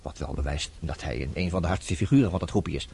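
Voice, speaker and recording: A man's voice: rumbling low, quick pace, vocal fry, a little mellow, husky, exaggerated voice. An actor playing a worldly, self-assured man who advises his troubled friend in a chatty, teasing radio drama style. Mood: brisk, wry.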